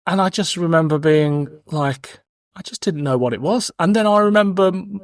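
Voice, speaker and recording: A man's voice: high-pitched, moderate pace, no echo, studio quality. A man in his forties with a British accent, hosting a podcast in a casual, cheeky, frank chat. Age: 40s. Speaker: podcast host.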